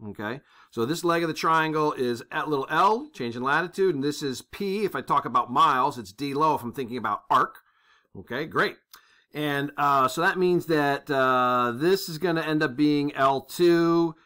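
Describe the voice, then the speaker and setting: A man's voice: mid-range voice, sharp tone. A man giving an informal step-by-step tutorial as an instructor, thinking aloud and often checking in with a short confirming word. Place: indoors at a desk.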